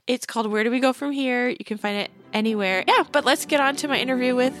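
A woman's voice: a little high, fast talker. A woman in her thirties hosting a podcast, chatting eagerly with many fillers over a remote call. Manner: chatty, enthusiastic, lots of fillers. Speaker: podcast host. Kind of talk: casual podcast chat and interview. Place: Portland, Oregon, remote call.

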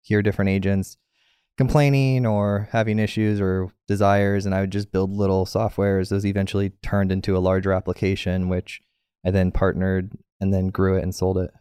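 A man in his thirties speaks as an interview guest, telling his story casually. His voice is a little low and very smooth and clean. The recording is dry, with little room sound. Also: flat delivery, normal speed, warm tone, no echo. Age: 30s. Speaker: podcast guest, tech entrepreneur.

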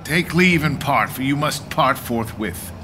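A male actor plays an unmoved, stern nobleman. He speaks formally and with command, at a very slow pace in a Shakespearean radio drama, over clearly audible background noise. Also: mid-range voice, lively intonation, lightly acted. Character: stern, unmoved nobleman delivering royal orders.

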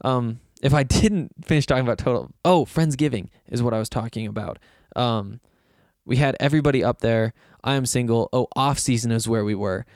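Young male podcast host, slightly raspy, meandering casual chat, dead-dry close mic. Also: mid-range voice, a little fast, darkish tone, no room sound. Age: young adult. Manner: loose, self-deprecating, meandering with fillers. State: hoarse from yelling.

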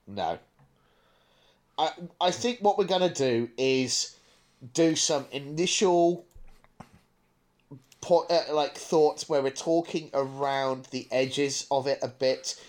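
Male podcast co-host, casual and informal, feeling his way through sentences. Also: medium pitch. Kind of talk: casual chat.

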